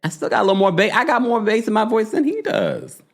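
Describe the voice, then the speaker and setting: Lively, chatty male recap host. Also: high-pitched, creaky. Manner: animated, gossipy, quick asides to chat. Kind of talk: casual live-stream recap.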